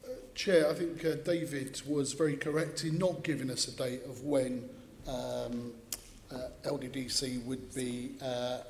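A man's voice: mid-range voice, fairly even intonation, measured pace, a little hiss or hum. A middle-aged British man questioning officials in a committee session, pointed and emphatic. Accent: British English. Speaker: assembly member questioning. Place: large council chamber. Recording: echoey hall with faint ambience.

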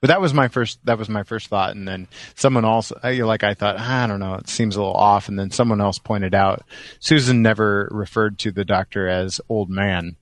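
Low male podcast voice, very close and dry. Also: steady tone, normal speed, no room sound. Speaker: podcast co-host. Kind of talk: casual chat.

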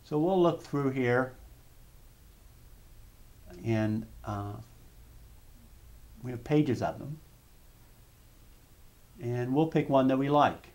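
Male narrator, mid-pitched, steady and methodical tutorial voice-over with occasional restarts. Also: very slow, long pauses. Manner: steady step-by-step explaining with small restarts. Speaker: software demonstrator. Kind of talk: software tutorial screencast narration.

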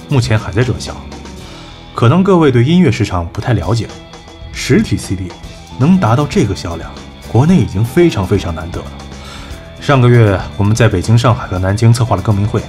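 A man playing a cool, assured agency executive in an audio drama, measured and persuasive. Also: mid-range voice, a little mellow, close to an everyday voice. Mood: cool, businesslike. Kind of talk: Chinese audio drama acting.

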